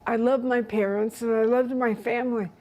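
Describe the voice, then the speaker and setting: Higher-pitched, mellow nun. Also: female voice, fairly even intonation, normal speed, poor audio. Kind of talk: oral history interview.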